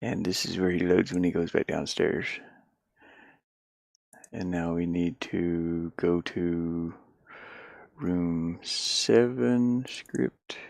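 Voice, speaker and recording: A man thinking out loud in casual livestream talk, muttering to himself as he works on a game. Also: low voice, fairly even intonation, slow, creaky, long pauses, no echo, studio quality. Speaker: indie game developer streaming.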